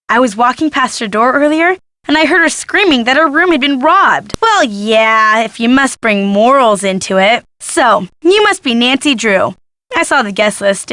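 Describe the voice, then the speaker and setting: Game role: young woman photojournalist, nosy and sardonic, forceful bright tone, close dry sound. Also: a little high, no echo. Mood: gossipy. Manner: gossipy, sardonic, dramatic emphasis. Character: gossipy, snarky young female photojournalist snooping for scandal. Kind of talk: video game character dialogue.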